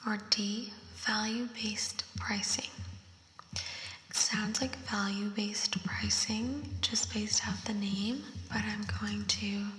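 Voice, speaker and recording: Slow whispering woman with echoey reverb. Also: mid-range voice, steady tone. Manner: soft unvoiced whisper, slow and thinking aloud. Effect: voice effect on the whisper. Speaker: ASMR creator. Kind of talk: whispered ASMR quiz reading. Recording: roomy echo with long reverb.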